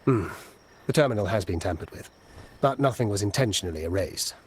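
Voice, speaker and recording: An actor playing a self-assured tech expert in a video game, explaining things in a matter-of-fact way. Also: male voice, lowish voice, lively intonation, fairly quick, darkish tone, close to an everyday voice. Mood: confident. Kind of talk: dramatic video game voice acting. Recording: noisy, night insect ambience behind.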